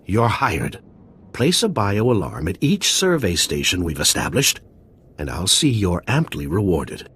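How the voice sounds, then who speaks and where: Animated, eccentric scientist. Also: male voice, deep voice, measured pace, husky, exaggerated voice. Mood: businesslike. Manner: formal, precise, theatrical. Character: eccentric, grateful male scientist, courteous and dry-witted. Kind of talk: video game voice acting.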